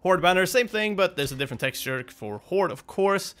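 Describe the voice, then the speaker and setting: Bright-voiced young man, casual gaming host. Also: sits high, animated, brisk. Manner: hesitant, rambling, apologetic. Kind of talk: casual off-the-cuff commentary.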